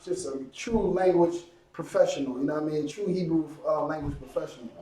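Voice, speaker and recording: A man teaching a Hebrew language class, drilling words slowly and often checking "you understand?". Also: on the high side, warm tone. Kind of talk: classroom lesson, call and response. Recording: echoey room, mic far off.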